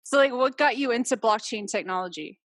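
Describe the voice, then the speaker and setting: A woman's voice: on the high side, expressive, a little fast, fairly bright tone, no room sound. A woman hosting an interview, asking questions in an upbeat, encouraging way. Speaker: interviewer, host. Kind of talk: casual interview.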